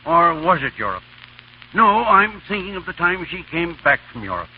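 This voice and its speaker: An actor playing a befuddled, absent-minded older professor, dithering hesitantly in 1930s radio comedy. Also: male voice, on the high side, very animated, normal speed. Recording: muffled, hissy 1930s radio recording.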